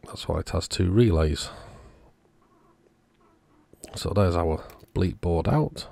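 Deep, gravelly-voiced man narrating repairs. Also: takes it slowly, mellow, no echo. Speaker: host of an electronics repair channel. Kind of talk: casual repair walkthrough narration.

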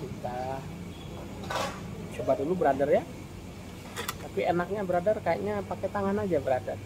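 A man's voice: sits high, expressive, moderate pace. Chatty man vlogging from a noisy cafe. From Indonesia. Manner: chatty, keeps calling the viewer "brother". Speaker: food vlogger. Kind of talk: casual food review vlog. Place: open-air cafe, evening. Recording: noisy cafe phone recording.

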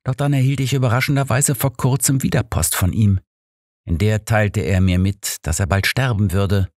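A man voicing an embittered woman who makes a soft, measured confession of murder in an audiobook. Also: fairly low pitch, no room sound, clean studio sound, natural voice. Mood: quietly bitter.

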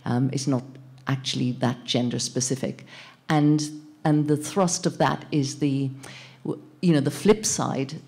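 A middle-aged woman with a low voice moderates a panel. She speaks thoughtfully and haltingly, feeling for each phrase into a handheld microphone. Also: fairly even intonation, mellow. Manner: halting, searching for words. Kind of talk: thoughtful panel discussion speech. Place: indoor panel event in a plain gallery room.

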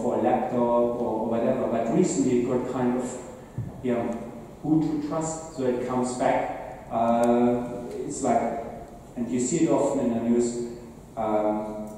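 A man with a German accent giving an informal presentation as a conference speaker, phrasing things haltingly with repeated words. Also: medium pitch, mellow. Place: indoor meetup presentation room with seated audience. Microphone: distant camera at back of room. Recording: roomy echoing hall, distant mic, faint noise.

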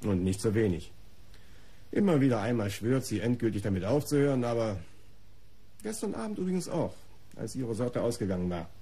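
An actor playing a defensive, disdainful art patron under police questioning in a German radio crime play, articulate, formal and self-justifying. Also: male voice, mid-range voice, big pitch swings, brisk, warm tone, husky. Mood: dry, disdainful.

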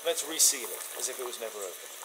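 An actor playing a shrewd, self-assured Victorian detective with a British accent, clipped and commanding. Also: male voice, mid-range voice, lively intonation, quick pace, very noisy, close to an everyday voice. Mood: intent, musing. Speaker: lead detective character. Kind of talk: dramatic game voice acting, thinking aloud.

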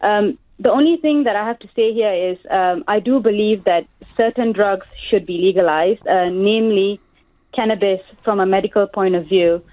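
A young woman from Malaysia, working as a pharmacist, calling a radio phone-in and explaining her view earnestly with many pauses and ums. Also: medium pitch, no room sound. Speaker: caller, pharmacist. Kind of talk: phone-in call. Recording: tinny phone line.

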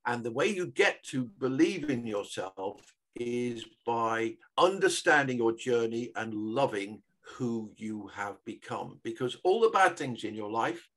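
A man's voice: medium pitch, animated, measured pace, fairly bright tone. An older Englishman speaking earnestly and encouragingly as a guest on a video call. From England. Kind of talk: motivational conversation on a video call.

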